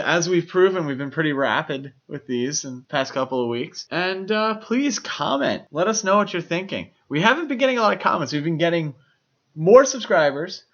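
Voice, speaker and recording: A man co-hosting a podcast, discussing films casually with measured, analytical phrasing. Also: on the high side, a bit bright. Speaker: podcast co-host. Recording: dull lo-fi microphone sound.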